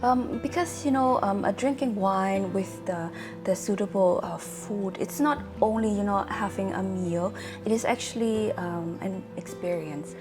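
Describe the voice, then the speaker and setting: Halting woman explaining, faint music behind. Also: medium pitch. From Germany. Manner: hesitant, halting, searching for words. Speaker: wine-savvy guest explaining to host. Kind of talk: casual explanatory conversation.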